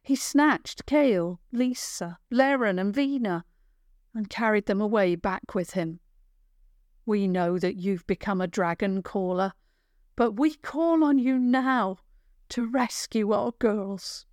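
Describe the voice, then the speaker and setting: A woman voicing a strong, steadfast village mother in a children's story, speaking firmly and gravely. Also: medium pitch, slow, darkish tone, no echo, studio quality, natural voice. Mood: grave, urgent.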